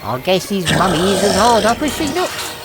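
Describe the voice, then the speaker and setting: A man's voice: sits high, very animated, moderate pace, loud music behind the voice. A man playing a cheeky talking wooden dummy with a British accent and a mocking, sarcastic manner.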